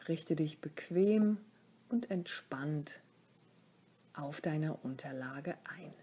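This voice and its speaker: A woman leading a guided meditation as a yoga nidra teacher, speaking calmly and soothingly in short phrases with pauses, her voice soft, breathy and often close to a whisper. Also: sits low.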